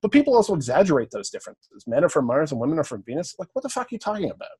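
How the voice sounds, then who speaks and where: Husky-voiced Canadian man thinking aloud. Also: fairly high pitch, very animated, very fast, dark tone. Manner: thinks aloud, often checks in with "right?", occasional swearing. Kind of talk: casual podcast discussion, lecture-like.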